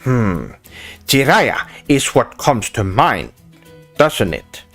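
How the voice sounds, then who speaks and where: A man's voice: mid-range voice, sing-song, slow pace, fairly bright tone, soft background music. A young Swedish man streaming, reading chat questions and answering in a pompous weeaboo persona, dropping in Japanese words and often restarting with "uh". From Sweden. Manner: hesitant restarts with many "uh"s. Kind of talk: casual livestream chat, reading questions aloud.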